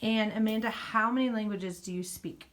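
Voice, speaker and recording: A woman's voice: medium pitch, steady tone, moderate pace, a bit bright. A woman interviewing a guest, asking questions and responding with frequent encouraging backchannels. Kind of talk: casual interview.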